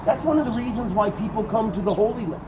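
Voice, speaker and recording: Guy tour guide with a big booming voice, chatty and animated, traffic noise all around outside. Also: fast. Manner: animated, conversational explaining to a group. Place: outdoors at a garden site. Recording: outdoor street noise.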